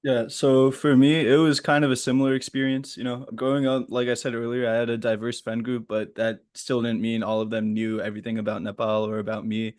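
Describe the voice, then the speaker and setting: A young man raised in Florida, a guest on an interview podcast, answering in an earnest, measured way. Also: medium pitch, flat delivery, darkish tone. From Florida, USA. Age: young adult. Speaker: guest, youth volunteer.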